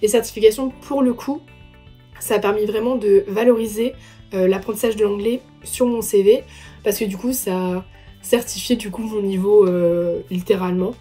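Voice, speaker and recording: A woman's voice: medium pitch, fairly even intonation, moderate pace, mellow, breathy edge, soft background music. A young adult woman, a former student, talking casually with repeated fillers. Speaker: former student giving a testimonial.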